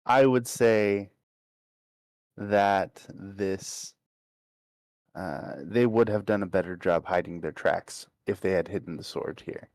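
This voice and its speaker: Hesitant, slow-talking man with lowish voice. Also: darkish tone, no room sound. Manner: hesitant, searching for words. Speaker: player in a tabletop role-playing game. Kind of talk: casual in-game chat.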